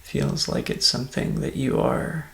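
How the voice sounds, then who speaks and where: A man softly and calmly guiding a meditation in an ASMR style, in measured phrases that sometimes drop to near-whisper. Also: roomy sound. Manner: soft-spoken, breathy near-whisper at times, calm and soothing. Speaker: meditation guide.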